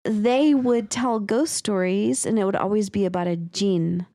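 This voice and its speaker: A woman's voice: medium pitch, measured pace, dark tone, no echo, clean studio sound. A woman co-hosting a podcast, chatting casually and candidly about writing, with some swearing. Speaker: podcast co-host and writer. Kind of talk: casual story discussion.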